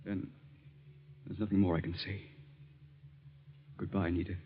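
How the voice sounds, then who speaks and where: A man's voice: sits low, little pitch movement, unhurried, husky. A man playing a bitter, resigned young doctor and jilted suitor in a 1940s radio drama, brooding and insistent. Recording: dull lo-fi old radio recording.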